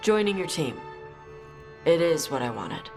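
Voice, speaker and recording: An actress playing a blunt, terse young dragon hunter with a defiant streak in a fantasy video game. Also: female voice, deep voice, big pitch swings, measured pace, creaky, music in the background, heavily acted. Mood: frank.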